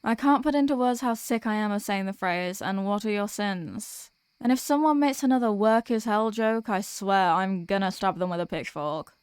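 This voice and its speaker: Demoness girlfriend, played by a woman: tired office worker, tender and chatty, mid-pitched, intimate ASMR roleplay, very dry recording. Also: moderate pace, clean tone, no echo, lightly acted. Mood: exasperated venting. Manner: chatty, affectionate, intimate address to a partner. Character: sweet, weary demoness girlfriend venting about office work.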